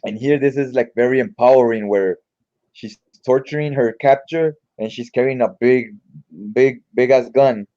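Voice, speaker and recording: A man's voice: medium pitch, steady tone, normal speed, dark tone, muffled recording. A man giving casual read-along comic commentary in an informal, slangy, mildly profane way. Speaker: comic book reviewer.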